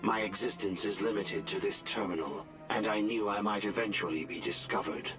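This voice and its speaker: A man voicing a rogue artificial intelligence in a video game, cold, formal, measured and defiant. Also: on the low side, music in the background, natural voice. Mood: defiant, menacing.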